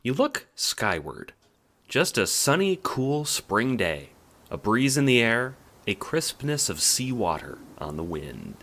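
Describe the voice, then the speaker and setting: A man's voice: medium pitch, sing-song, takes it slowly, bright, forceful tone. A man narrating an audio drama as scripted, dramatic second-person storytelling.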